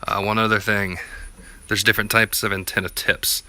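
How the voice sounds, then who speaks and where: A man's voice: lowish voice, level tone, normal speed, bright, forceful tone. A man giving a casual, unscripted how-to walkthrough in a plainspoken, laid-back way, as a vlogger would. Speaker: vlogger presenting a DIY gear tutorial.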